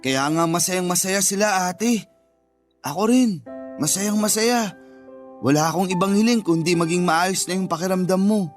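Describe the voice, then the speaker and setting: Man playing selfless little brother, quite high-pitched male, earnest, loving, sentimental radio drama, faint music. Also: normal speed, lightly acted. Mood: happy, thankful. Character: devoted, selfless younger brother, a gentle schoolteacher.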